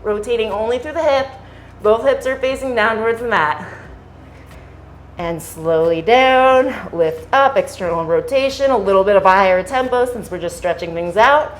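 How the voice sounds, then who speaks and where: A woman fitness instructor giving calm step-by-step exercise cues, her voice slightly hoarse from singing at a concert. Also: a little high, moderate pace, a little hiss or hum. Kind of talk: guided workout cueing.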